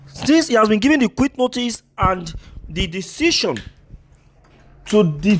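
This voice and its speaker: A man with a Nigerian accent and a fairly high voice delivers opinionated news commentary. He speaks straight to his listeners in an emphatic, rhetorical way.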